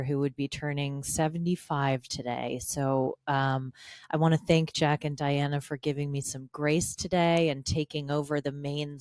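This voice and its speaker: A woman's voice: low-pitched, clear, resonant tone, no room sound, clean studio sound. A woman co-hosting a comedy podcast, chatting casually with crude, self-deprecating jokes. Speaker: podcast co-host.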